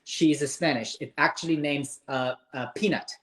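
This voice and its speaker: A man's voice: a little high, steady tone, moderate pace, a bit bright. Earnest Pakistani man giving advice. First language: Urdu. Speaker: host of a live interview. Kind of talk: casual live-stream chat.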